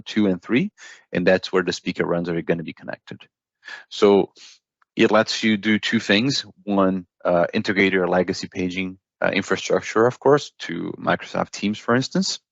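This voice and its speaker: A man with a deep voice and a gravelly edge explains technical products as a guest expert. He talks conversationally and step by step, often tagging points with a checking question. The sound is dry and slightly dull. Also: no echo. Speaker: product expert guest from a paging hardware company.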